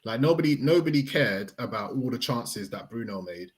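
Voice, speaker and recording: A young man, his pitch swinging widely as he mocks and needles the others. The talk is slangy and loose, heard through a simple video-call microphone. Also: normal speed. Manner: animated, mocking, slangy. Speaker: football podcast panelist. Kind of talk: teasing casual banter.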